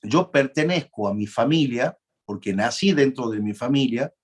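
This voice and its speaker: A man delivers a university law lecture at a moderate, middle pitch. He speaks conversationally, pausing on fillers and restarting phrases. He keeps turning to the class with questions. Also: animated, slightly rough. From Argentina.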